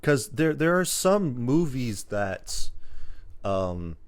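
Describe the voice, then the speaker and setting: A man's voice: mid-range voice, sing-song, drawn-out words, smooth. A man hosting a podcast in a casual, rambling chat, listing things off as he goes. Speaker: podcast host.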